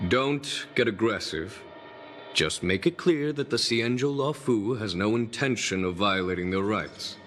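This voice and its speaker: A man voicing a calm, authoritative commander in a video game, composed as he gives instructions. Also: lowish voice, unhurried, slight background noise. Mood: calm, instructing. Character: calm, authoritative male commander giving orders.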